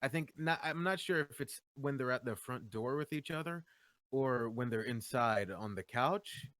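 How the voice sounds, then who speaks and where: A man's voice: mid-range voice, expressive, moderate pace, fairly bright tone, no echo. A man who does amateur voice acting, talking casually and tentatively in a group Q&A, thinking aloud. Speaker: amateur voice actor in a comic dub.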